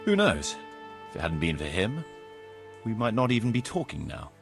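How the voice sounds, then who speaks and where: An actor playing a formal, courtly medieval land surveyor in a video game, speaking precisely and deferentially. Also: male voice, lowish voice, wildly swooping pitch, moderate pace, gravelly, faint music behind, heavily acted. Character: formal, courtly medieval locator Marius, eager planner and surveyor. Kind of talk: video game cutscene acting.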